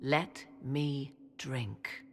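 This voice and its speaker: An actress playing a proud human sorceress in a fantasy game, with haughty, formal, measured diction. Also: female voice, a bass voice, full character voice. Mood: proud, insistent. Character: proud, hungry-for-knowledge human sorceress advising a commander. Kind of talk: dramatic fantasy game acting.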